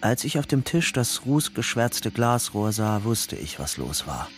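An actor playing a guarded young ex-convict who acts in and narrates a radio crime drama. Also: male voice, on the low side, sing-song, a little slow, soft background music. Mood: brooding narration.

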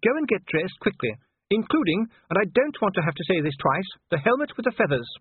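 A man voicing a firm, commanding aristocratic wife in an audiobook, brisk and imperious. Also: high voice, very animated, slightly rough, no echo, narrow, tinny audio, voice pushed up, heavily acted. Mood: stern, commanding.

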